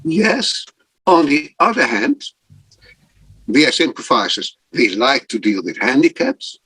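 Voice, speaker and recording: A man, a guest improviser, speaking casually and thoughtfully over a video call, with frequent pauses. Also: medium pitch, very animated, sharp tone, no echo, low-quality recording. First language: not German. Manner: slow, thoughtful, pausing often.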